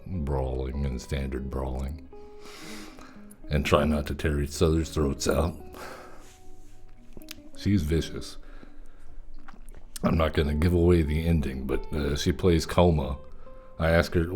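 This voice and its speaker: A man's voice: extremely low pitch, darkish tone. A man streaming a card game and chatting easily with viewers as he plays. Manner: easygoing, chatty, thanks viewers. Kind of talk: casual gameplay commentary.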